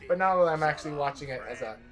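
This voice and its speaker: A man's voice: fairly high pitch, expressive, racing. A young man co-hosting a let's play, chatting casually and enthusiastically like a fan while a game runs. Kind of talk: casual chat over gameplay. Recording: game music behind the talk. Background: video game cutscene music.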